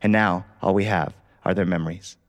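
A man's voice: sits low, steady tone, brisk. A man telling a personal story live, as a practiced storyteller does. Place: studio, live performance.

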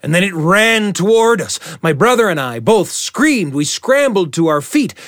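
Animated male storyteller with dramatic suspense. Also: high voice, no echo, studio quality. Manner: suspenseful, deliberate phrasing with repetition for effect. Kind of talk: dramatic first-person oral storytelling.

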